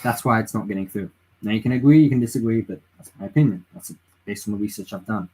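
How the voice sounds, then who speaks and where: A man with a low, husky voice streams late at night from home and sounds tired. He talks through his views casually, restarting sentences often and filling gaps with uh and um. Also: quick pace, warm tone, rough recording. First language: English. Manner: rambling, reads chat aloud, frequent restarts and fillers. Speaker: livestreamer. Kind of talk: casual political livestream chat.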